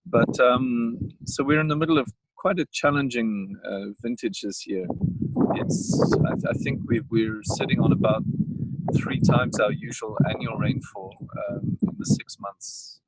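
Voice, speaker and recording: South African winemaker coughing outdoors. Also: male voice, medium pitch, fairly even intonation, background noise. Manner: halting, many fillers and restarts. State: coughing, tired. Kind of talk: casual outdoor vineyard talk. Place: outdoors in a vineyard.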